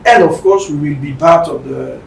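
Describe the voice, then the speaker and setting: A Belgian man with a French accent speaks haltingly in a semi-formal address. His slightly high voice has a gravelly creak, and it is picked up in a roomy space. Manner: halting, hesitant non-fluent English. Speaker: company managing director. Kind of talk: semi-formal presentation speech. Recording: roomy, distant mic with faint rumbling noise.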